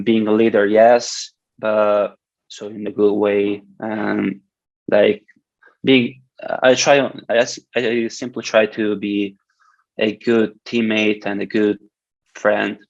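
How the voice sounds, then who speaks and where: Hesitant young Swiss-Italian man, gravelly. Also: fairly low pitch, no room sound. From Switzerland. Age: 20s. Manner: hesitant, many restarts and fillers. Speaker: guest swimmer. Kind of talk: casual interview. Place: indoor room with curtains. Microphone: video call, laptop camera. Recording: dull lo-fi video call audio.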